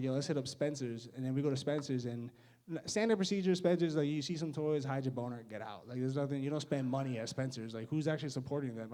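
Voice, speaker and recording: A man's voice: medium pitch, mellow. A young man doing a stand-up set, awkward and self-mocking, laughing at his own jokes. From United States. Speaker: stand-up comedian.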